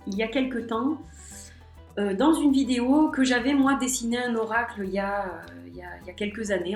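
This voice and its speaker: A woman with a slight head cold, chatting hesitantly in a casual vlog as she shows her tarot and oracle cards. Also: medium pitch. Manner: chatty, hesitant, many fillers. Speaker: tarot and oracle card vlogger. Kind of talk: casual show-and-tell vlog. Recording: echoey room with faint music.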